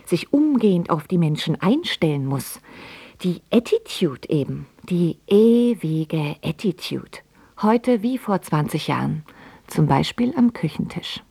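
A woman's voice: on the low side, sing-song, a little slow, creaky, dark tone, husky, dry recording, heavily acted. An actress playing a bitter, ironic grown daughter who tells of her father in a dramatic radio play monologue. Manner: sardonic, dramatic monologue with sudden exclamations. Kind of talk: radio play narration and dialogue.

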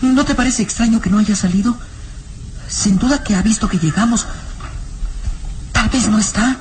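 A woman's voice: a little high, normal speed, natural voice. A woman playing a small, anxious and loyal boy sidekick in a radio serial, speaking in an earnest, cautious way. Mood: anxious, watchful. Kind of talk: Mexican radio serial acting. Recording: noisy radio drama track with effects.

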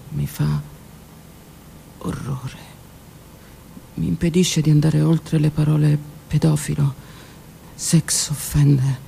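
Gravelly woman performing an intense monologue. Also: a bass voice, slight background noise. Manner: intense, dramatic delivery swinging between loud outbursts and whispers. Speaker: actress performing a one-woman stage monologue. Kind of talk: theatrical monologue broadcast on radio.

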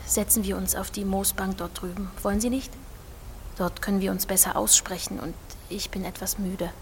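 A woman playing a self-centred, gently coaxing young lady in a German audio drama, speaking softly and formally. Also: medium pitch, flat delivery, normal speed, close to an everyday voice. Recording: long reverb with faint noise.

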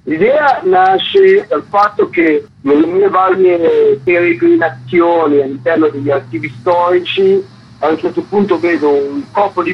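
Raspy, animated man explaining as interview guest. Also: on the high side. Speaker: photography exhibition curator interviewed as guest. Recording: dull, lo-fi line.

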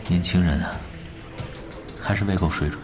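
An actor playing a calm, knowing young veteran gamer in a Chinese radio drama, talking in an unhurried, wry way. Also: male voice, sits low, music in the background, lightly acted.

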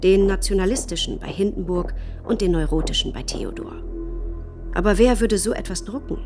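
A woman's voice: mid-range voice, moderate pace, music in the background. A woman narrator reading scripted documentary drama in a factual, formal way.

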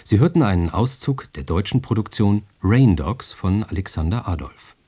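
Male radio host, native German, scripted studio announcements, soft dark timbre, highly melodic delivery. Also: expressive, normal speed, slightly rough.

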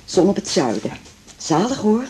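An actress playing a genteel, calculating doctor's wife in a radio drama, with affected, gushing sales chatter. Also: female voice, medium pitch, sing-song, normal speed, dark tone, slight background noise, natural voice. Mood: gushing, persuasive. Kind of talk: 1980s Dutch radio drama acting.

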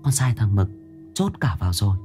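A man voicing an anxious young woman, the mistress's daughter, talking quickly and fearfully. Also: sits high, very animated, faint music behind, voice pushed up. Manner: quick, frightened.

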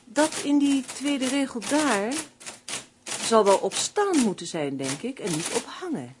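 An actress playing a brisk, bossy, practical female writing partner, expressive and insistent, in a Dutch radio play. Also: a little high, background noise. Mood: exasperated, insistent.

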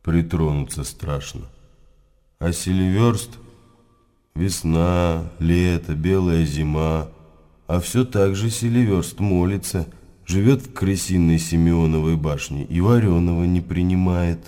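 An actor playing a stern, weary older monastery abbot in a radio drama, speaking gravely and deliberately with pauses. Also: male voice, sits low, steady tone, dark tone. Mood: grave, disapproving.

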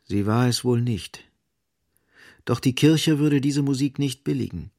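An actor as the grave, ironic narrator of a radio play, delivering literary prose in a measured, detached manner. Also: male voice, mid-range voice, dark tone, dry recording, studio quality, close to an everyday voice.

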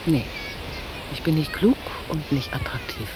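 A woman's voice: low voice, lively intonation, unhurried. An actress playing Anne, a melancholic, self-doubting German woman, speaking in a subdued, brooding way in a naturalistic radio drama. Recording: hissy, noisy cassette copy of radio play.

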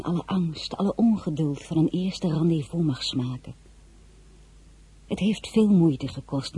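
A woman's voice: deep voice, dark tone, breathy edge, full character voice. A woman playing a discreet middle-aged noblewoman who narrates her own story and often drops into a whisper. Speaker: narrator and lead character. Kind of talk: radio play acting with diary narration.

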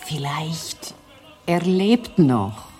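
A woman's voice: deep voice, big pitch swings, very slow, warm tone, soft background music, heavily acted. An actress playing a soothing, motherly woman in a radio drama, with a theatrical manner. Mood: insistent. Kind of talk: radio play dialogue.

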